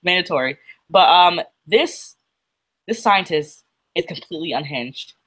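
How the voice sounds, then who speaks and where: A woman co-hosting a casual livestream chat about comics. She is feeling unwell and talks haltingly, with fillers and restarts. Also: on the low side, animated, a bit bright, slightly rough. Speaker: co-host.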